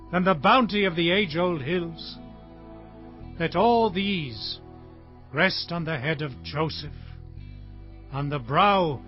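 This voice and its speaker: An actor playing a dying old patriarch solemnly blessing his sons in a dramatized audio Bible, in a declamatory, deliberate manner. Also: on the high side. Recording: dull lo-fi audio with music bed. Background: background music.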